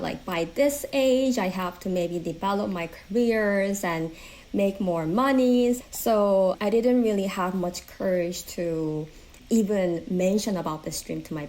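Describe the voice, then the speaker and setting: A woman's voice: fairly low pitch, darkish tone, low-quality recording. A woman in her thirties from South Korea with a Korean accent, chatting earnestly as an interview guest, with many "like" fillers. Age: 30s. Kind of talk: casual interview chat.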